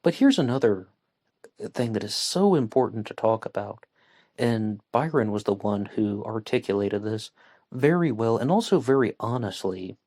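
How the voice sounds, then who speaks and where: A man hosting a true crime podcast, talking through a case in an earnest, measured way with frequent pauses and fillers. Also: fairly low pitch, expressive, a little mellow. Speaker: podcast host.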